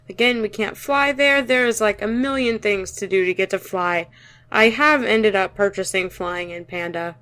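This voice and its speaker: A woman's voice: mid-range voice, fairly bright tone. A young woman co-hosting a casual podcast, joking and often trailing off and restarting her sentences. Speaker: podcast co-host. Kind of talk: casual chat.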